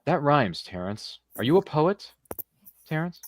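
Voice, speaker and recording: A man's voice: fairly high pitch, sing-song, measured pace, no echo. Jokey male podcast host, close dry sound. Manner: jokey, pop-culture quoting, repeats phrases. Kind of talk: casual livestream chat, reading viewer comments.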